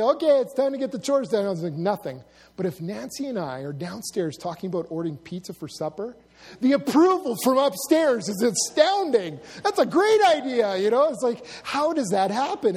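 A middle-aged man preaching with a high-pitched voice, pushing hard on key words and then dropping to a low, hushed intensity. His sermon rings through a church hall with a long reverb tail, and he lightens it with humorous stories. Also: very animated, fairly quick, husky. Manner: dramatic shifts between loud emphasis and hushed, low-voiced intensity; storytelling with humor, drawing laughs. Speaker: pastor.

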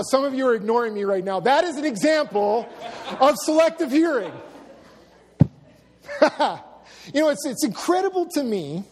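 High-voiced preaching man in a reverberant hall. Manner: dramatic shifts between loud emphasis and hushed, low-voiced intensity; storytelling with humor, drawing laughs. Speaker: pastor. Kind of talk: sermon.

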